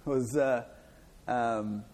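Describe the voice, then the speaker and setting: A man from Haiti, a guest in an interview, telling his life story in a halting way, with restarts and laughs. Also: medium pitch, fairly even intonation, a little mellow. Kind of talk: personal testimony in a church interview. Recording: reverberant hall.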